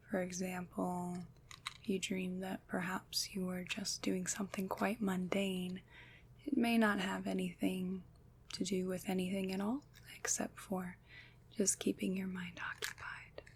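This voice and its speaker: A gentle witch, acted by a woman, whispers in a soft, breathy voice at an extremely unhurried pace. Her phrasing is formal and slightly old-fashioned, with a soothing, caring, faintly mysterious manner suited to ASMR roleplay. The pitch sits in a middle female range, over clearly audible but light background noise. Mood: calm, explanatory.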